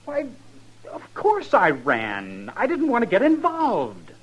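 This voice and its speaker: An actor playing a spoiled, cowardly young grandson in a radio western, self-justifying and entitled. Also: male voice, high voice, wildly swooping pitch, takes it slowly, full character voice. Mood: defensive, pleading. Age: young adult. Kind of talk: 1950s radio western acting. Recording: noisy old radio recording.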